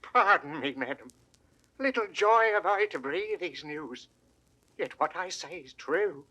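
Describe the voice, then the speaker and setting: An actor in a 1960s Shakespeare audio drama playing a grave, plain-spoken head gardener with deliberate, declamatory delivery. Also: male voice, fairly high pitch, lively intonation, bright, forceful tone, full character voice, low-quality recording. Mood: apologetic, firm.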